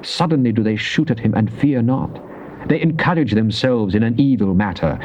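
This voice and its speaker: An actor playing a devout, fervent psalmist, declaiming in a solemn, measured way in a dramatized scripture reading. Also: male voice, mid-range voice, darkish tone, natural voice. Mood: pleading, grave. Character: devout psalmist, fervent man praying to God. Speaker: scripture reader. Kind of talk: dramatized King James Bible reading. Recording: muffled old cassette with faint hiss.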